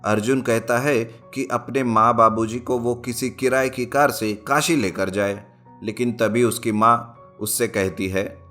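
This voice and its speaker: A man's voice: medium pitch, steady tone, measured pace, bright, forceful tone. Male storybook narrator over music. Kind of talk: scripted children's story narration.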